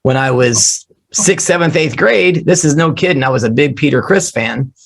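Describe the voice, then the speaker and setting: A man who is losing his voice, hoarse, telling animated, joking stories about his drumming start as an interview guest on a remote call. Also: medium pitch, normal speed. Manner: animated storyteller, jokey. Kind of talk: casual storytelling interview.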